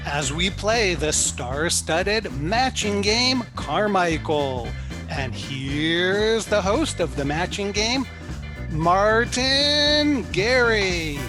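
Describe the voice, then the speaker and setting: Woman as a slow, swooping game show announcer. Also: medium pitch, expressive. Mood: showy. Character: grand, drawn-out game show announcer presenting the stars. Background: music.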